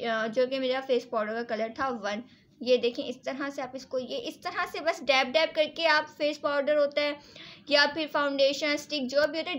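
High-pitched woman giving a casual demo. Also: a bit bright. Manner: repetitive, instructive, mixes in English words. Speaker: beauty vlogger and salon owner. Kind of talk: casual hands-on product review and demonstration.

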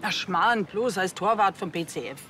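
A woman's voice: medium pitch, animated, moderate pace, over music, natural voice. An actress playing a blunt, nosy, easily flustered small-town woman with a Bavarian accent. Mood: rattled.

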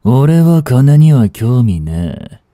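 A man acting as a cynical, aloof young street delinquent in a voice drama, with rough, casual, lazily teasing speech, close to the mic. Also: mid-range voice, big pitch swings, slow pace, warm tone, dry recording. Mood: aloof, dismissive. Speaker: voice actor. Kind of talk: Japanese voice drama aimed at women, one-sided dialogue. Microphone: close studio mic. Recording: dry close-miked studio recording.

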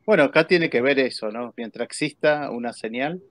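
A man's voice: medium pitch, normal speed, dry recording. An Argentine man in his forties hosting a casual interview chat. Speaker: host. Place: indoors.

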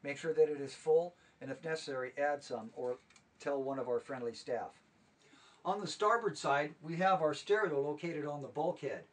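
A man with a slightly high, faintly husky voice leads a tour through a small sailboat cabin. He works through the gear item by item, steady and methodical. The voice sits a little back from the microphone. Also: moderate pace, recorded from a distance. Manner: steady, methodical listing of equipment. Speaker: charter boat staff giving a tour. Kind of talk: walkthrough tour, instructional.

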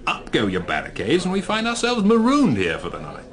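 An actor with a British accent playing a genial, wry married novelist in a radio drama, chatty and a little hesitant. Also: male voice, sits high, huge pitch swings, fairly quick, crowd noise. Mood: genial, jocular.

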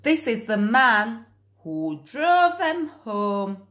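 A woman reading a children's picture book aloud in an expressive, deliberate storytelling manner. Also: fairly high pitch, echoey room. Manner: slow, animated, deliberate storytelling.

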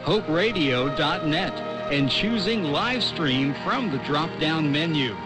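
Man, radio announcer, formal scripted read, measured, shortwave broadcast, music behind. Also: a little high, lively intonation. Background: music bed.

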